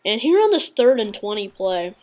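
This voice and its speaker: A woman giving casual, halting gameplay commentary with a congested, sniffly voice and many filler pauses. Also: mid-range voice, very animated, darkish tone. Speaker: gaming video creator.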